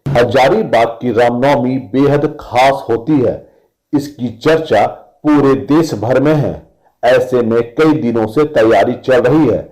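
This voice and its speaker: A man reading a scripted district news report for radio in a measured, formal way. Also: mid-range voice, warm tone, low-quality recording.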